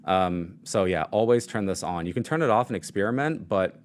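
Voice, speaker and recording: A man with a low voice explaining microphone settings in a casual live demo, with lots of fillers, speaking into a studio mic at his desk. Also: lively intonation, fairly quick. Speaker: product demo presenter. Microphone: studio mic on shock mount and arm.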